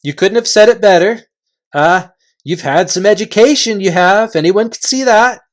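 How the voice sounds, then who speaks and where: Man doing an eager, high, fawning creature follower. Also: normal speed, close to an everyday voice. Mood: cheerful. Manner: repetitive, eagerly agreeing. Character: fawning, dim, enthusiastic chorus of invisible creature followers.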